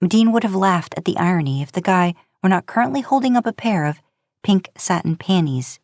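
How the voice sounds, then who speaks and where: A woman's voice: lowish voice, animated, fairly quick, a little mellow, no echo, studio quality. A woman narrating a story as a podcast host, in audiobook style.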